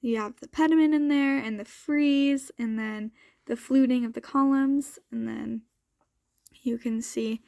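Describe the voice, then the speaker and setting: High-pitched sniffly young woman, casual vlogger. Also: steady tone, measured pace, creaky, a little mellow, dry recording, studio quality. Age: young adult. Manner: informal, thinking aloud. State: sniffly from a cold. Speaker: student vlogger. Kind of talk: casual chatty vlog walkthrough.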